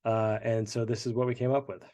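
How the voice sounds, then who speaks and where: A man's voice: mid-range voice, flat delivery, fairly quick, darkish tone. A congested man, the show's creator, chatting through behind-the-scenes commentary with many fillers. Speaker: show creator.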